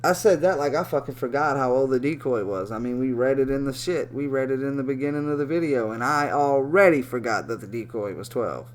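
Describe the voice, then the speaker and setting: Male commentator, casual reaction talk, swearing a lot, excitable and sarcastic, average pitch, slight background hum. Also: fairly quick, hardly any pauses. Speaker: video commentator.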